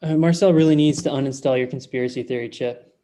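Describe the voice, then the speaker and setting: A man's voice: medium pitch, quick pace, warm tone, natural voice. A man in his thirties playing a nervous, lovelorn office temp in a comedy table read, anxious and hesitant. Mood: wry, uneasy. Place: indoor room at home, video call. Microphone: video call, earbuds.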